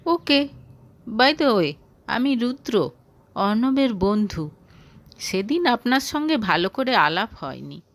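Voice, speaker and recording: Woman reading as a friendly, slow-talking charming man. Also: a little high, sing-song, heavily acted. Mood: polite. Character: friendly, persuasive young man, boss's old friend.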